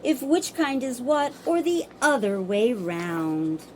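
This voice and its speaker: A woman's voice: on the high side, very animated, slow pace, darkish tone, a little hiss or hum. A woman voicing proud, snooty bird-like creatures in a children's storybook, declaiming in an indignant, haughty manner. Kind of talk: theatrical children's storybook character reading.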